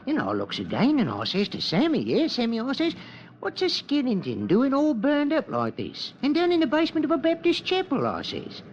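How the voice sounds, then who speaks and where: Casting: rambling navvy, radio drama, hugely animated delivery, dulled vintage sound. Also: male voice, very high-pitched, moderate pace, exaggerated voice. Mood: puzzled, excitable. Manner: rambling, colloquial storytelling. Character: working-class London navvy reporting a find. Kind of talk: 1950s radio drama acting. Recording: muffled, noisy old radio recording.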